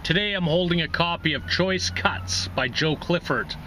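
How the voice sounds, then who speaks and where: A man's voice: on the high side, moderate pace, sharp tone, slight background noise. A man hosting a reading series, narrating a literary short story aloud.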